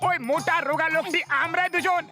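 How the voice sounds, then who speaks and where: A man voicing a plump, simple-hearted cartoon buffoon with an excitable, childlike, exclamatory way of talking. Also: very high voice, fast talker, soft background music. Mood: sheepish, pleading. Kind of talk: exaggerated cartoon dub acting.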